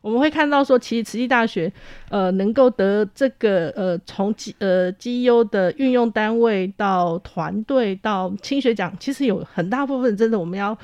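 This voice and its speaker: A woman university administrator with a Taiwanese Mandarin accent, giving appreciative, deliberate spoken remarks in an interview, often ending phrases with soft particles. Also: medium pitch, clear, resonant tone, dry recording, lo-fi sound.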